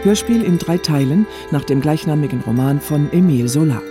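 A man's voice: a little high, lively intonation, fairly quick, over music. A man announcing the title and credits of a radio drama.

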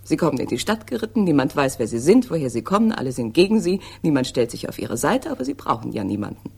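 Husky, teasing young woman. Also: low-pitched, big pitch swings, fast, darkish tone, exaggerated voice. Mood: mocking. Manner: mocking, cool, probing. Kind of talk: 1960s German radio crime drama acting.

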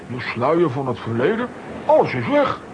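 A man's voice: mid-range voice, huge pitch swings, moderate pace, background noise. An actor playing a bewildered comic grown man with a Flemish accent in a children's audio drama.

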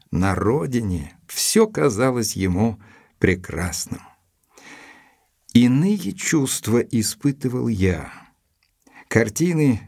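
A loyal, observant gentleman doctor narrating an audio drama. His lowish, husky voice tells the tale in measured literary style. The sound is dry and close. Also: no echo. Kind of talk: scripted first-person narration in audio drama.

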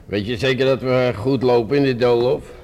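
An actor in a radio play playing a fussy, grumbling police inspector. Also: male voice, medium pitch, moderate pace, a little mellow, natural voice. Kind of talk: Dutch radio play acting.

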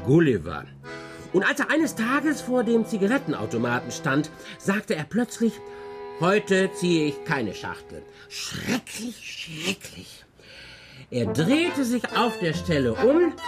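A man's voice: high voice, wildly swooping pitch, unhurried, over music, full character voice. An older man playing a whimsical, grumbling grandfather in a radio play, telling tall tales with theatrical emphasis and muttered asides. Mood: theatrical storytelling.

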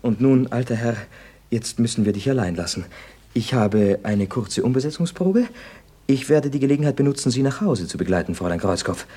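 Cheeky sarcastic son, husky, mocking, hammy up and down delivery, radio comedy. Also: male voice, medium pitch, animated, fairly quick, dark tone. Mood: pompous, sarcastic. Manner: mocking, theatrical. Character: cheeky, sarcastic actor son, jealous and flippant. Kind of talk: stage comedy radio acting.

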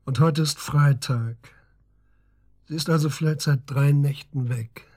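An actor playing a wry, inquisitive middle-aged police officer questioning an old friend in a naturalistic radio drama. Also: male voice, on the high side, fairly even intonation, a little slow, darkish tone. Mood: probing. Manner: inquisitive, prodding, familiar.

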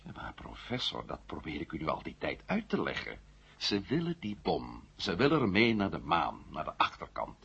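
An actor playing an urgent, persuasive adventurer-investigator in a radio drama, explaining things emphatically and insistently. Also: male voice, low voice, huge pitch swings, normal speed, gravelly, fairly bright tone, husky, exaggerated voice. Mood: exasperated, persuasive. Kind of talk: 1970 Dutch radio drama acting. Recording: muffled 1970 radio play recording.

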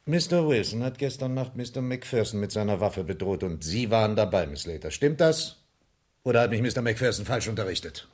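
An actor playing an exasperated, sarcastic police inspector with a blustering, scolding manner in a German radio crime drama. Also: male voice, fairly low pitch, expressive, a little fast, a little mellow. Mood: brisk, ironic. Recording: noisy 1970s radio drama recording.

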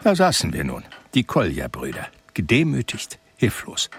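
An actor playing a sensitive man recalling his life, narrating a radio drama in a reflective, measured way. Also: low-pitched, huge pitch swings, creaky, husky, full character voice.

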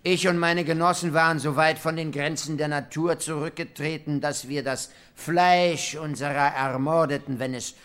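An actor playing a depraved robber captain in a radio play, confessing atrocities methodically and with relish in archaic language. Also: male voice, on the high side, steady tone, normal speed, few pauses, fairly bright tone, clear, resonant tone, lightly acted. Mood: matter-of-fact, boastful.

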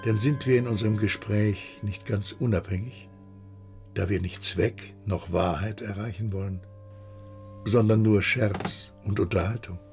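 An actor playing an anxious, brooding storyteller who talks to himself in a literary radio drama. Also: male voice, lowish voice, creaky. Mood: earnest, polite. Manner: introspective, talking to himself. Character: anxious, brooding first-person male storyteller. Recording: music behind the voice.

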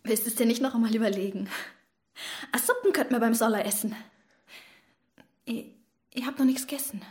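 A woman's voice: on the high side, a little fast, a little mellow, lightly acted. An actress playing a naive, clinging young woman in radio-play dialogue. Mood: hopeful, clinging.

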